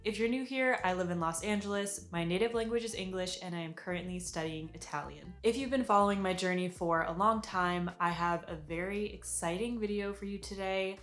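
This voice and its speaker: An upbeat young American woman talks through her plans in a casual vlog, chatty and full of fillers. Her voice sits a little low. The room rings with echo, and soft music plays faintly underneath. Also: a little fast, few pauses. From United States. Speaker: vlogger.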